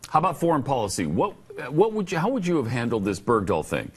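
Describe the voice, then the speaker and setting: A man's voice: medium pitch, huge pitch swings, fast talker, husky. A man with an American accent co-hosting a political talk show, asking questions in an informal, opinionated manner. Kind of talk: casual political interview.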